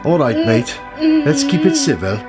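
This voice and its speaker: An actor playing a blunt, chatty, grumbling road worker in a radio play, with colloquial speech. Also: male voice, sits high, wildly swooping pitch, a little slow, music in the background, exaggerated voice. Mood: wary, grumbling. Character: matter-of-fact, grumbling working man gritting roads.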